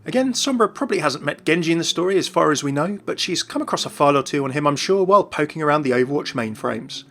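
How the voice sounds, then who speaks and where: A man's voice: on the high side, lively intonation, fast talker, fairly bright tone. A man narrating scripted commentary for an online video.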